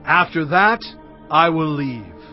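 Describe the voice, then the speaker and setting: An actor playing Moses, a stern, determined male prophet, giving solemn, forceful proclamations in a dramatized audio Bible. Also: on the high side, big pitch swings, takes it slowly. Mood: solemn warning. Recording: dull lo-fi sound with music.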